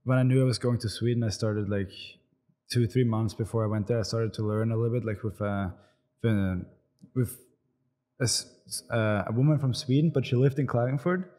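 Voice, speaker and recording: A man in his twenties with an Austrian German accent, a pro hockey player answering interview questions in a halting way, full of fillers and restarts. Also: mid-range voice, fairly even intonation, mellow. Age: 20s. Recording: long reverb, echoey room.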